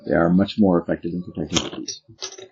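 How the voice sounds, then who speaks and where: A man's voice: low voice, steady tone, fairly quick, a little mellow, a little hiss or hum. A man casually narrating a tabletop roleplaying game as the game master. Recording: dull lo-fi sound.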